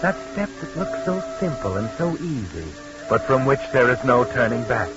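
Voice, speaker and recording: An American man delivers ominous 1940s radio suspense narration. He is dramatic and knowing, in a mid-range voice. Loud music plays behind the muffled recording. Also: mid-range voice, sing-song, normal speed.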